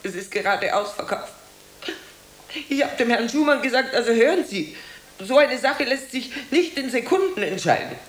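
A woman's voice: fairly high pitch, expressive, moderate pace, roomy sound, close to an everyday voice. An actress playing a chatty, motherly woman who fusses in a homely, matter-of-fact way in a radio play. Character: chatty, fussing, motherly female landlady or neighbour. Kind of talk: German radio play acting.

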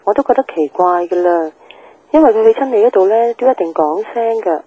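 A woman's voice: medium pitch, animated, a little fast, warm tone, close to an everyday voice, poor audio. A woman playing a worried, chatty middle-aged mother in a radio drama, fretful and questioning, with a Hong Kong accent. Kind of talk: Cantonese radio drama phone scene.

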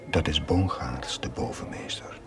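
An actor playing an anxious young priest in a brooding, halting inner monologue for a television drama. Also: male voice, a bass voice, whispered. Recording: loud music and crowd noise behind. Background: music and crowd.

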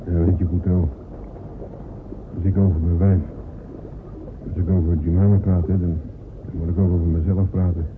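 Insecure village seaman, played by a male actor, hesitant and confiding, lowish pitch, heavy noise, radio drama. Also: flat delivery, normal speed, pauses often, lightly acted. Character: small, insecure village seaman confiding about his big wife. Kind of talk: Dutch radio play dialogue. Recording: tinny, noisy old radio play recording.

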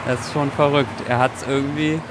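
A man's voice: medium pitch, steady tone, normal speed, noisy background. A man talking casually and reflectively.

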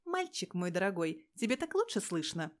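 A woman's voice: medium pitch, sing-song, moderate pace, natural voice. A woman voicing a small, foul-mouthed female police officer in an audio story, threatening in a mock-sweet way. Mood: menacing, mock-sweet. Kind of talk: audio story character dialogue.

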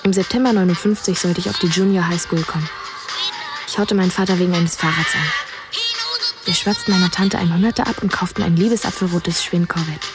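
A hushed, detached boy narrator voiced by a woman tells of his morbid obsession in a radio drama. The voice sits fairly low for a female one, with music playing underneath. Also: steady tone, fairly quick, close to an everyday voice. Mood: obsessive recollection. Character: writer's boyhood self, obsessed with murder, narrating.

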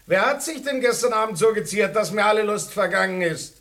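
A man's voice: high-pitched, animated, brisk, fairly bright tone. An actor playing a brash, boastful male cat in a radio play, haughty and scornful. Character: boastful, brash rival tomcat show-off. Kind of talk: German radio play acting.

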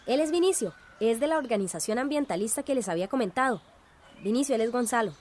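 An actress playing a cheerful, earnest community organizer in a radio drama. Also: female voice, a little high, animated, fast, a little mellow, a little hiss or hum, close to an everyday voice. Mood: delighted.

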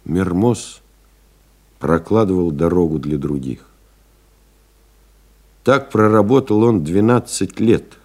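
An actor playing a reflective male pilot in an audio play, delivering a measured, contemplative literary monologue. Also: low-pitched, expressive, warm tone, full character voice. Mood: solemn, admiring. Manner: measured, contemplative, deliberate phrasing. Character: reflective male French pilot recalling his flights. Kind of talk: dramatic literary monologue, scripted narration.

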